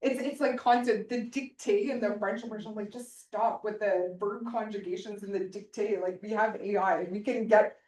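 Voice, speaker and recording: A woman's voice: mid-range voice, steady tone, moderate pace, hardly any pauses, a little mellow. Chatty woman panelist on a distant mic. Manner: chatty, self-interrupting, laughs often. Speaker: panelist answering audience questions. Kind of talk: panel discussion Q&A. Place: conference hall. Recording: roomy, distant room mic.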